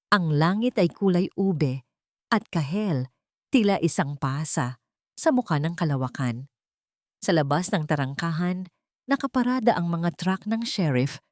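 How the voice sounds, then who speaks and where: A woman's voice: fairly low pitch, darkish tone, dry recording, clean studio sound. A woman with a Filipino accent reading a scripted romantic drama as a story narrator.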